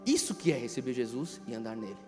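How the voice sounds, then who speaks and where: A Brazilian man in his thirties preaching a sermon, emphatic, repeating key phrases and swinging between hushed and loud delivery. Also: medium pitch, animated, fast talker. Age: 30s. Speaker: pastor preaching. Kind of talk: impassioned sermon. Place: large reverberant church hall. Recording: reverberant hall PA, music underneath. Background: music.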